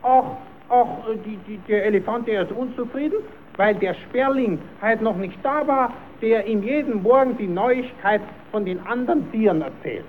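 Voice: mid-range voice, moderate pace, lightly acted. A performer playing a cheeky puppet clown who stammers as he spins tall tales for children in a German radio play. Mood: storytelling. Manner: stammering, repeating himself, improvising tall tales. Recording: tinny, narrowband, hissy old radio recording.

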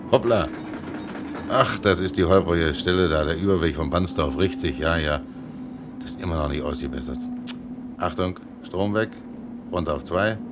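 An actor playing a proud, sentimental older tram driver who chats affectionately, in a German radio play. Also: male voice. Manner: chatty, affectionate, sentimental muttering to himself. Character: proud, wistful old ex-tram driver, stubbornly in love with his tram. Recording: clearly noisy, tram rumble behind the voice. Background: vehicle and ambience noise.

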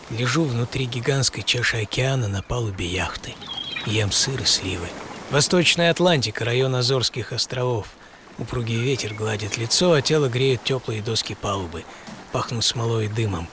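A man playing a solitary, excitable and stubborn old-time American sea captain in a first-person audio drama, with theatrical delivery. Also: medium pitch, normal speed, noisy background, natural voice. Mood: dreamy, content. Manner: theatrical, swinging from murmur to shouting. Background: music bed.

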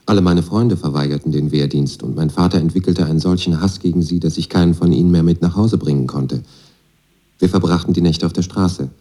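A very low, dark male voice as a young student who has turned against his military father. He recounts his life in a laconic, matter-of-fact radio monologue, with a mellow, unforced tone. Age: young adult. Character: disillusioned young Moscow student, pacifist son of army father. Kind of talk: radio drama monologue, first-person testimony.

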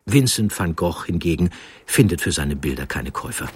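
A man reading a scripted historical narration in a measured, articulate manner. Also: deep voice, lively intonation, background noise. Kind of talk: scripted documentary storytelling narration. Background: music under the voice.

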